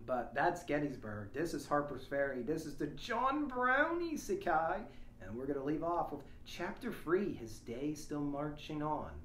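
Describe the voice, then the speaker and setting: A man's voice: fairly high pitch, expressive, a little slow, a bit bright. A man hosting a read-along, moving between casual chat and reading a story aloud, recorded on a small shared lapel microphone. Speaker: host of a read-along show. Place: echoey room. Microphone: small lapel microphone shared by the group. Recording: roomy echo, faint noise, small lapel mic.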